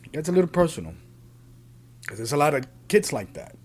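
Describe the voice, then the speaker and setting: A man, born in Puerto Rico and raised in the Bronx, giving an earnest, unscripted opinion monologue as a podcast host, often halting and repeating himself. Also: fairly high pitch, big pitch swings, a little mellow. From Puerto Rico, raised in the Bronx, New York City.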